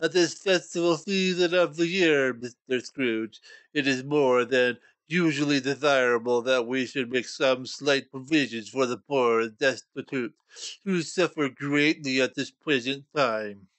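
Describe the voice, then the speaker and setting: A man voicing a polite middle-aged gentleman collecting for charity, formal and courteous. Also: medium pitch, a little slow, no room sound, lightly acted. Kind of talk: storybook reading.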